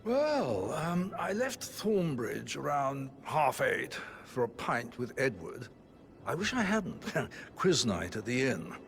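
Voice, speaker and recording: Snide toff, gravelly, swings way up and down, music under it. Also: male voice, medium pitch, big pitch swings, slow, full character voice. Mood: mocking. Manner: mocking, drawling, sarcastic. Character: sneering middle-aged British aristocrat brother. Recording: game audio with music behind.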